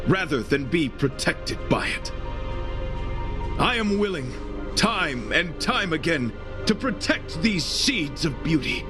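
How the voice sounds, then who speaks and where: A noble game knight with a middling pitch and richly varied intonation. His speech is courtly, florid and solemn, delivered over prominent music. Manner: formal, florid, solemn chivalry. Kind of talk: video game voice acting.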